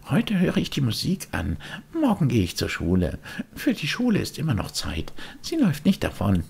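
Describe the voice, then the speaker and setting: A man's voice: mid-range voice, wildly swooping pitch, normal speed, gravelly, darkish tone, breathy edge, pitched above the performer's own voice, exaggerated voice. A man voicing a naive, eager little wooden puppet boy who chatters impulsively in a children's storybook. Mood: tempted.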